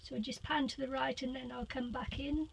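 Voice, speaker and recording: An older woman with a high, squeaky voice and a gravelly edge talks slowly and haltingly, full of ums and little giggles. She films on a handheld phone in a small boat cabin, with a faint hiss behind her. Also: steady tone, rough recording. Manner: rambling, hesitant with many fillers, giggly. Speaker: crafter giving a room tour. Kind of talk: casual live-stream tour. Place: small cabin of a sailboat used as a craft room.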